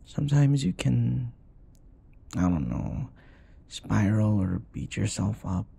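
A man playing an affectionate, teasing young boyfriend in an intimate comfort audio roleplay, speaking in a soft, tender murmur. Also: on the low side, animated, slow, vocal fry, husky, full character voice. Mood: gentle, caring.

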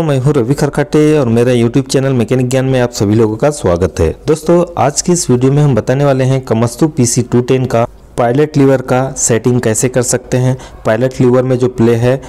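Male mechanic host, mellow and soft-toned, friendly how-to delivery, recorded on a camera inside a machine cab. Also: medium pitch. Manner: friendly instructional, keeps addressing viewers as friends. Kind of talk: step-by-step repair tutorial. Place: excavator cab, outdoors work site.

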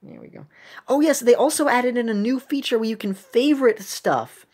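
A game streamer giving casual, halting commentary, rambling and half talking to themselves. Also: medium pitch, a little mellow.

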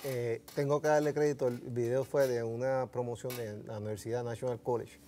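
A man's voice: medium pitch, little pitch movement, a little fast, warm tone, soft background music. A middle-aged man with a Puerto Rican accent, co-hosting casual sports talk and often repeating his words. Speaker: sports show co-host. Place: TV studio at a desk.

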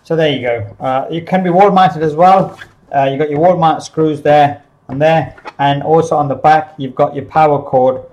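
Halting man explaining a product. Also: on the high side, steady tone, a little mellow, dull audio. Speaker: product demonstrator for a CCTV supplier. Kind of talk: unscripted product unboxing and overview. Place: small office, indoors.

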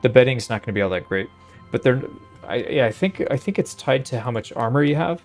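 A man's voice: medium pitch, lively intonation, brisk, faint music behind. A man giving casual gameplay commentary, thinking aloud in a laid-back way as he hosts a playthrough. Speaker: host of a gaming playthrough.

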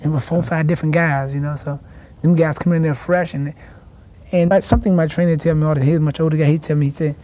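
A young man, a boxing champion answering in a TV studio interview, halting and restarting his words. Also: a little high, fairly even intonation, dark tone. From South Carolina, raised in Washington, D.C. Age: young adult. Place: TV studio set.

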